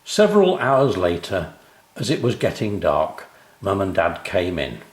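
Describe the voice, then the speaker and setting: An older man with a British accent, reading a children's story as an expressive narrator. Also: deep voice, measured pace, echoey room.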